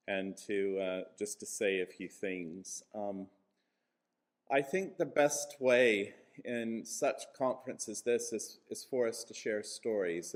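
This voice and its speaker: A mature man with an American accent giving an informal, reflective conference address, telling personal stories in measured phrases with pauses for emphasis. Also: fairly low pitch. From Kansas, USA. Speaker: church moderator, guest speaker. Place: conference venue.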